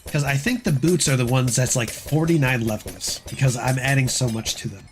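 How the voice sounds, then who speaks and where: A man chatting casually on a gameplay livestream, rambling through game steps, his voice dry and hoarse as if he is losing it. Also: medium pitch, normal speed, soft background music. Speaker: game streamer.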